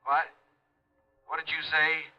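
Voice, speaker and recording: A man's voice: on the high side, completely flat delivery, slow, sharp tone, exaggerated voice. A man playing an earnest, easily flustered insurance man, urgent and sputtering, in 1950s radio drama style. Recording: muffled vintage radio recording.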